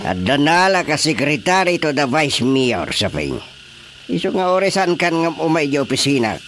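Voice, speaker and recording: An actor with an Ilocano accent playing a flirtatious, smooth-talking vice mayor in a radio drama comedy. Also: male voice, a little high, very animated, normal speed, over music. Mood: persuading. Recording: faint background ambience noise.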